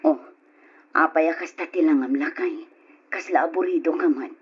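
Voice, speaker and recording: A woman's voice: low-pitched, normal speed, slightly rough. A woman playing a caring, practical mother and long-suffering wife in a poor family, in an old Ilocano radio drama. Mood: concerned, coaxing. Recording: muffled lo-fi radio recording.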